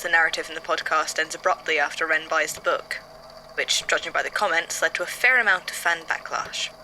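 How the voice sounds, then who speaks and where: A woman's voice: on the low side, pushed and bright, a little hiss or hum, low-quality recording. A woman playing an inquisitive, slightly harried male archivist who muses over case notes conversationally in an audio drama. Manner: conversational, musing, hesitant.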